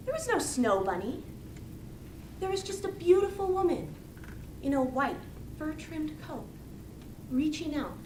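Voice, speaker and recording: A woman giving a rehearsed storytelling speech to an audience, with theatrical pauses and repetition. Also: high voice, fairly bright tone. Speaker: speech contestant. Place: indoor meeting room. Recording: echoey room, distant mic, faint noise.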